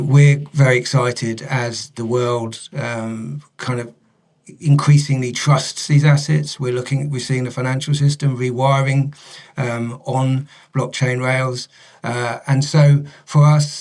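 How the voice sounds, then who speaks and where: A man's voice: medium pitch, level tone, takes it slowly, darkish tone. A man, a company director, explaining strategy in an interview, hesitantly breaking his phrases into pieces.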